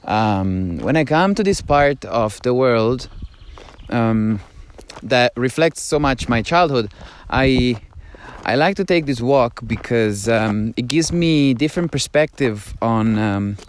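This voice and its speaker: A man from Italy with an Italian accent, talking as he walks down a mountain trail, halting and musing, sometimes dropping to a hushed, awed voice. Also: medium pitch, a little hiss or hum. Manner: musing, halting, drifts between hushed awe and excited chatter. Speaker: podcast host. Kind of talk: casual walking monologue.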